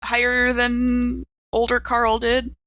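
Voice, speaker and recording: Female podcast player, casual banter, crisp bright tone, very dry sound. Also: on the high side, completely flat delivery, slow, dry recording.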